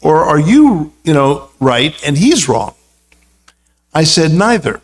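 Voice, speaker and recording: A man's voice: fairly high pitch, sing-song, slow. An American man speaking as a religious teacher, telling anecdotes in an informal, conversational talk full of asides. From United States. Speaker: guest speaker, religious teacher and university lecturer.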